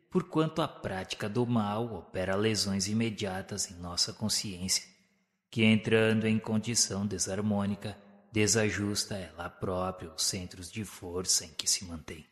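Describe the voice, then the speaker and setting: A man narrating a spiritual radio drama in a solemn, deliberate way. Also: fairly low pitch, a bit bright, big-room sound.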